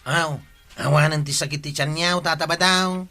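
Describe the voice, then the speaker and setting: A man's voice: fairly high pitch, fairly even intonation, normal speed, bright, forceful tone. A man with an Ilocano accent acting a friendly, eager tricycle driver in a radio comedy drama. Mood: helpful.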